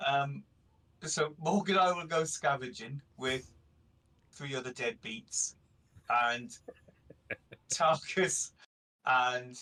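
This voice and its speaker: A man's voice: mid-range voice, slow pace, pauses often, pushed and bright. A man chatting casually as a player at a tabletop game, jokey and sweary, recorded at a distance from the mic.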